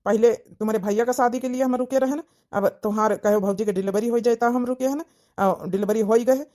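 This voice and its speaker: A woman's voice: a little high, steady tone, brisk, dark tone, natural voice. A woman playing a wounded, pressing young village suitor in a cartoon drama, pleading insistently with an Awadhi accent. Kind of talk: cartoon comedy-drama dialogue in village dialect.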